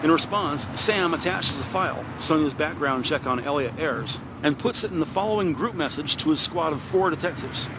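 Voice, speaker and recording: Male narrator, scripted descriptive crime storytelling, fairly high pitch, deliberate, clear background noise.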